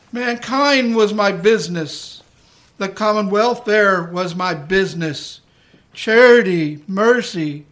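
A man's voice: high-pitched, fairly bright tone. A man playing a stern, mournful male ghost of a dead businessman in a community radio play, speaking in a solemn, declamatory way. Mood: impassioned lament.

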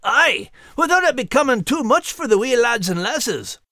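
A plucky wee male dog with a Scottish accent. The delivery is spirited and folksy, swooping up and down like a lively children's audio story, recorded close and dry. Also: medium pitch, normal speed, no echo. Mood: cheeky, lively. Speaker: co-host and character in an animal story podcast. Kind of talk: animated children's audio drama.

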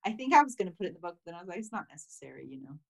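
A woman with a notably low voice talks through her past as a podcast guest. She grew up in Thailand, and her speech is reflective and soft, full of "you know" and small laughs. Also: sing-song, very fast, gravelly. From grew up mostly in Thailand. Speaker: memoir author, podcast guest. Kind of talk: casual interview chat.

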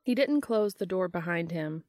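A woman reads a story aloud as a narrator. Her voice sits somewhat low for a woman, and the recording is dry, with little room sound. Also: animated, moderate pace, darkish tone, no room sound. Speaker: fan fiction audiobook narrator. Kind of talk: scripted narration, reading a story aloud with character dialogue.

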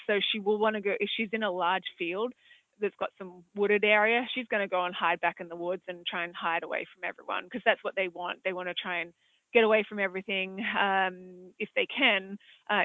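An Australian man with a high voice speaks over a tinny, phone-like line. The sound is dry and close. He answers questions as a horse vet, wandering through his points with plenty of hesitant fillers. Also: steady tone, a little fast, no room sound. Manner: rambling, hesitant, many fillers. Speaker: equine veterinarian. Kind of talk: expert answering audience questions.

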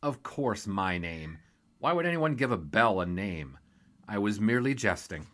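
An actor playing an eager, glib young sports reporter in a 1920s period audio drama, with a wisecracking, playfully formal manner. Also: male voice, on the low side, sing-song, normal speed, a bit bright.

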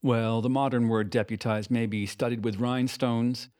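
A man's voice: medium pitch, fairly even intonation, normal speed, a little mellow, no echo, clean studio sound. A man narrating a scripted podcast as its host, with a literary, ornate way of phrasing.

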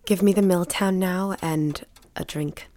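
A woman's voice: lowish voice, steady tone, measured pace, dark tone, natural voice. A woman playing a nervous, prickly, reclusive housewife in a period audio drama, blunt and guarded. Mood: demanding, wary.

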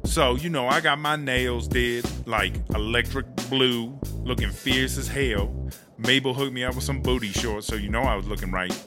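A man's voice: medium pitch, moderate pace, over music, natural voice. An actor playing a brash, proud woman prison inmate who tells stories in swaggering street slang for a comedy audio drama. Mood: swaggering storytelling.

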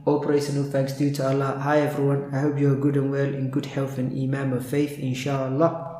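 A man's voice: on the high side, mellow. A man hosting a podcast interview, courteous and devout, sprinkling his speech with Arabic religious phrases. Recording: roomy, echoing room sound.

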